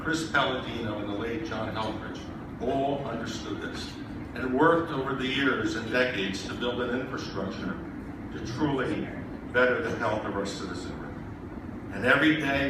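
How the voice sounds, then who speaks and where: Native Polish-speaking man, gala speaker, formal oratory with dry quips, mic far off in a booming hall. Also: background noise. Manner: oratorical, with wry joking asides. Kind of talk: formal after-dinner speech. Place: hotel banquet hall. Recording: very echoey hall from far off, loud ambience.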